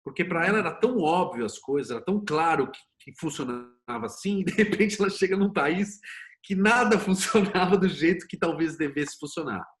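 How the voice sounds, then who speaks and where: A Brazilian man with a slightly high voice talks as a guest in a relaxed interview conversation. He explains through examples and anecdotes, often posing questions that he answers himself. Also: brisk. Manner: explains with examples and acted-out quotes, rhetorical questions. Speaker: interview guest, series producer and screenwriter.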